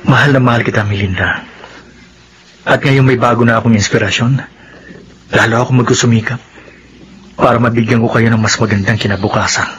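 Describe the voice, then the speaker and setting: An actor playing a hot-tempered, jealous young husband in a radio drama, shouting in rage and later speaking softly. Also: male voice, mid-range voice, steady tone, fairly quick, pauses often, slight background noise, lightly acted. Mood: tender. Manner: shouting in rage, then soft and tender. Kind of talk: Filipino radio drama acting.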